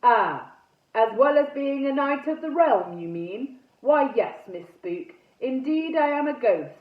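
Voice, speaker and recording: A woman's voice: high-pitched, lively intonation, measured pace, far from the mic. A woman voicing a pompous old male ghost knight in armour for a children's story, with formal, indignant delivery. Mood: grand. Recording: phone-like thin sound, roomy echo.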